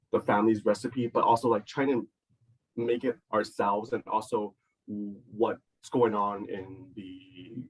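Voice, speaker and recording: A man's voice: lowish voice, distant mic, a little hiss or hum, rough recording. A man, a chef and restaurant co-owner, answering interview questions in a loose, casual way full of "like" and "you know". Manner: loose, filler-heavy talk with many "like" and "you know".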